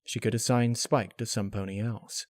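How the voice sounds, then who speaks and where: A man reading a scripted piece of fiction as narrator, in a measured, serious, dramatic storytelling manner. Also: fairly low pitch, little pitch movement, dry recording, clean studio sound.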